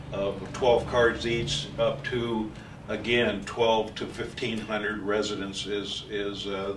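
A man in his sixties giving a technical product demonstration as a trainer, speaking haltingly with many pauses, recorded from a distance in an office meeting room. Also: lowish voice, a bit bright. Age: 60s. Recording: distant mic, echoey room, faint noise.

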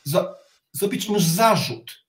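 Man, middle-aged, higher-pitched voice, halting blunt commentary, roomy echo, video call. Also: animated. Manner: halting, with repeated words and blunt criticism. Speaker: interview guest, reserve army officer. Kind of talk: opinionated interview commentary. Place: home study with bookshelves, indoors. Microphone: video call, sitting back from the webcam.

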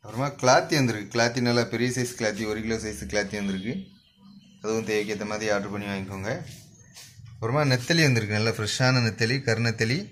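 A man with a Kanyakumari Tamil accent, a fish shop owner running through the day's catch in a brisk, repetitive vendor's listing, filmed on a handheld phone at an indoor market stall. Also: fairly low pitch, fairly even intonation, a bit bright, a little hiss or hum. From Kanyakumari district, South India. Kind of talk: spoken product rundown for a shop channel.

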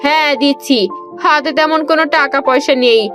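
A woman's voice: high-pitched, steady tone, a little fast, music in the background, natural voice. A woman voicing a woodcutter's kind, caring wife in a fairy-tale cartoon, homely and agreeable.

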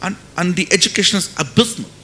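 A man's voice: fast, pushed and bright. A middle-aged man with an Indian accent speaks emphatically as a panelist, posing rhetorical questions into a handheld mic on a conference stage. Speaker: panelist, finance academic. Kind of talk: panel discussion remarks. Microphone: handheld microphone. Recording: faint noise and low rumble.

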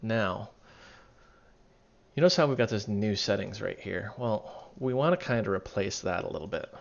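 A man with a voice going raspy, walking through code in a casual tutorial, thinking aloud and correcting himself as he goes. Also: fairly low pitch, moderate pace. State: losing his voice, raspy. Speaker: programming tutorial instructor. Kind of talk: casual screen-recorded coding walkthrough. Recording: dull lo-fi mic, keyboard typing behind. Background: keyboard typing and clicking.

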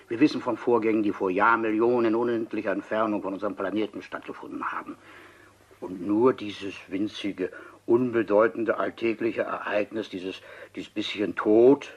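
A low, husky old man of seventy, an obsessed scientist in a radio drama. He persuades coolly and deliberately, pausing for weight. The sound is slightly dull and lo-fi. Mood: insistent, scornful. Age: older. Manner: deliberate, insistent, rhetorical, dramatic pauses. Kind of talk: German crime radio play acting.

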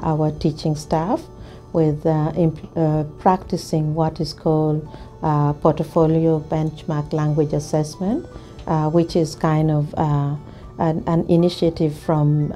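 A middle-aged woman from Uganda, an English teacher, speaking to camera in a measured, thoughtful way with many pauses and restarts. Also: sits low, dark tone. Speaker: ESL teacher. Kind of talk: interview to camera. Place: indoor studio, plain grey backdrop.

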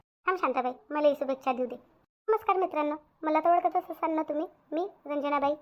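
Woman acting cheerful cartoon village hostess, high pitch, rapid chatter, folksy, Vidarbha Marathi accent. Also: slight background noise, lightly acted. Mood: cheerful greeting. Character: cheerful, chatty village women hosting their cartoon channel. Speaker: cartoon channel host. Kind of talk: cartoon channel greeting.

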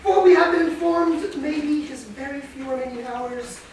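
A woman playing a self-important, halting family spokesperson in an improvised staged reading. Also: high voice, steady tone, a little mellow, close to an everyday voice. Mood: formal, halting. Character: officious relative explaining a dying patriarch's care. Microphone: far camera. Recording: distant, echoey, noisy theater recording.

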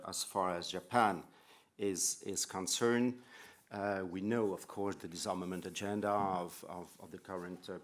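A middle-aged man moderating a conference panel, halting and repeating words, with many fillers, into a table microphone. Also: low voice. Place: conference room.

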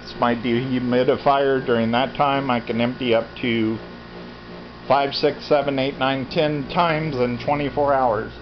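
A man's voice: medium pitch, steady tone, unhurried, noisy background. An American man in his fifties giving a casual, rambling, matter-of-fact walk-through commentary into a handheld camera. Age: 50s. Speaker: vlogger, farm resident. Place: indoors, cluttered concrete basement. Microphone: handheld camera at arm's length. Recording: dull lo-fi camera audio, loud background sound. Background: loud continuous radio playing.

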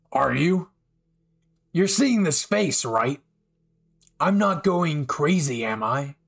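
An unhinged anime villain, acted by a man in a dramatic roleplay. He speaks slowly and menacingly, taunting, swinging between quiet threat and outburst. He is bitter over an old betrayal. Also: on the high side, expressive, gravelly, full character voice. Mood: manic, incredulous.

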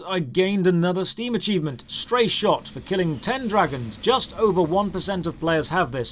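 A man with a high voice reviews a video game as a scripted narration. His pitch swings widely as he plays up his exasperation. The delivery is sarcastic and theatrical.

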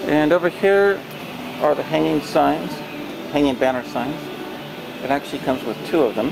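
Gravelly man touring a booth. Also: on the high side. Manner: plain, unhurried sales description with many pauses and fillers. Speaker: used trade show booth seller. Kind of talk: walkthrough tour. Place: large warehouse, indoors. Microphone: handheld camera held by the speaker. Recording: noisy warehouse camera audio.

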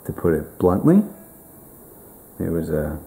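Deep gravelly man in his twenties. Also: big pitch swings, dark tone, soft background music. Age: 20s. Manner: halting, emotional, many restarts. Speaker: grieving brother. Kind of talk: personal testimony. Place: living room.

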